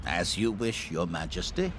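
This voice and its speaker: An actor playing a sly, haughty middle-aged royal spymaster in a video game, drawling formally. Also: male voice, low voice, sing-song, moderate pace, noisy background. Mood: cold deference.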